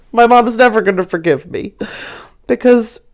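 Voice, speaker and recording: A woman's voice: on the high side, unhurried, voice pushed up. A woman plays a cute little storybook bunny. The voice is raised and childlike, earnest and fretful in a silly way. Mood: silly, worried.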